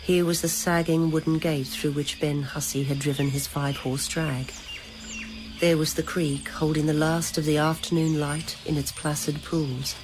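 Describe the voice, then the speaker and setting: A woman narrating a literary story in a measured, deliberate, dramatic style. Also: low voice, flat delivery, background noise.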